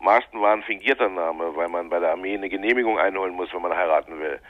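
Brisk reporter on a tinny phone. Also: male voice, low-pitched, no room sound. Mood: matter-of-fact. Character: brisk male newspaper reporter reporting findings by telephone. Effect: telephone filter. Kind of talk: German radio crime drama dialogue. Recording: tinny, dry phone line.

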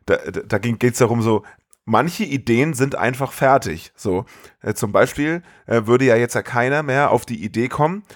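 A man's voice: mid-range voice, animated, moderate pace, dry recording, studio quality. A man co-hosting a casual film podcast, rambling loosely and enthusiastically in slangy language. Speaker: podcast co-host.

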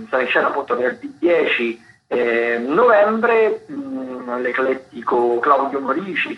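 A man hosting a radio show, presenting theatre listings off the cuff from notes in a halting way, often correcting himself. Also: medium pitch. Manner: hesitant, halting, self-correcting delivery. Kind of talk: unscripted radio commentary reading from notes. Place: radio studio. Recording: dull lo-fi radio sound, faint background noise.

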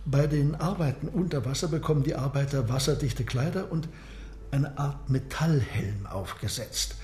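A man's voice: mid-range voice, a little mellow, lightly acted. Man playing Captain Nemo, reserved, in an echoing room. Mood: proud, composed. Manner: measured, explanatory. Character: reserved, proud male submarine captain and inventor. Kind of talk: radio drama dialogue. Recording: roomy, echoing interior sound.

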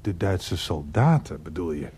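A husky older uncle in a radio play. His pitch moves animatedly as he stammers and repeats himself. He sounds unctuous and evasive. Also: big pitch swings, unhurried, warm tone, full character voice. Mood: avuncular.

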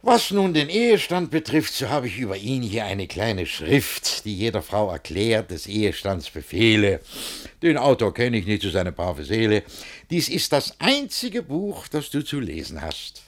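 Domineering husband-to-be, wildly animated. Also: male voice, fairly low pitch, measured pace, few pauses. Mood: pompous, preachy. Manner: declamatory, preachy, speaking in rhymed verse. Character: domineering, pompous middle-aged man lecturing his young bride. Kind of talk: classical stage comedy radio play.

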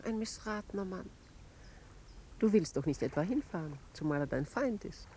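A woman's voice: fairly low pitch, lively intonation, normal speed, mellow, natural voice. A woman playing a composed noblewoman with dry, needling irony in a 1950s German radio play. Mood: probing, dry. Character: cool, sardonic noblewoman, drily needling her husband. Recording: 1950s radio recording, faint noise.

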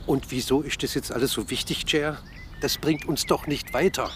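A man's voice: mid-range voice, fairly even intonation, normal speed, a little hiss or hum, close to an everyday voice. An actor playing an annoyed, protective man in a radio drama, challenging others in a sulky, reproachful way.

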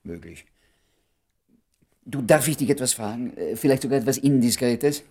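A man's voice: mid-range voice, animated, normal speed, darkish tone, natural voice. An actor in a German radio play playing an awkward, flustered male doctor who hesitates and fumbles for words. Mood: evasive, curious. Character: flustered, awkward doctor, nosy but bashful friend.

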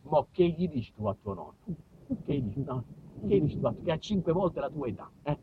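A man's voice: on the high side, lively intonation, quick pace, a little hiss or hum. A man with a southern Italian accent performing a colloquial, theatrical autobiographical monologue with dramatic shifts of delivery. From southern Italy. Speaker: actor and storyteller. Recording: dull lo-fi stage recording, far from mic.

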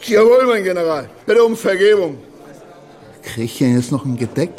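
An actor playing a loud, mocking tavern regular who rants in a radio play. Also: male voice, high voice, big pitch swings, moderate pace, soft background music. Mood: mock deference. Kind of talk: German radio play acting.

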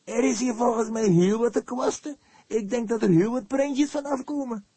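High-voiced fingerprint technician. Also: male voice, moderate pace, mellow, husky, no room sound. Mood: chatty. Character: chatty, apologetic male police fingerprint technician.

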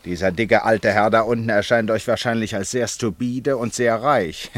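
A man's voice: fairly low pitch, fairly even intonation, moderate pace, no room sound, lightly acted. Theatrical, storytelling English eccentric, acted by a man. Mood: revealing, amused. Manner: theatrical, teasing, explaining with relish. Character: theatrical, eccentric Englishman fond of stories and revelations. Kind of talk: German radio play acting.

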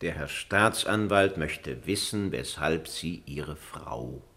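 An actor playing an older, pompous and excitable justice minister presiding as a judge in a satirical radio drama. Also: male voice, very deep voice, takes it slowly, gravelly, exaggerated voice. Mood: officious. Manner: pompous, officious, blustering.

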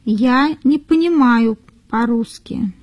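Slow, careful woman reading model sentences. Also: on the high side, darkish tone, dry recording, dull audio. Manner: careful, deliberate, repeats each phrase. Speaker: native-speaker model reader.

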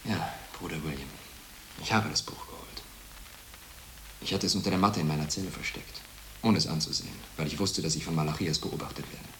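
A man's voice: extremely low pitch, brisk, a bit bright, a little hiss or hum, low-quality recording. A male actor playing an evasive, ambitious monk scholar in a radio drama, guarded and self-justifying as he speaks. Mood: confessing, hurried.

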